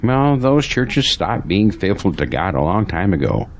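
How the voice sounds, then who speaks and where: A man's voice: low voice, very animated, measured pace, slight background noise, heavily acted. A man acting as a devout, troubled pastor in an audio drama, speaking with earnest, preachy conviction. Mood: grave, disapproving.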